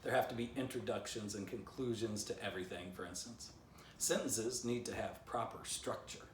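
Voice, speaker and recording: A man's voice: on the low side, steady tone, moderate pace, a little hiss or hum. A man in his fifties reading his own children's book aloud, with playful, chatty asides to young listeners. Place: living room by a fireplace, indoors. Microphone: camera a few feet away. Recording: roomy, slightly distant home recording.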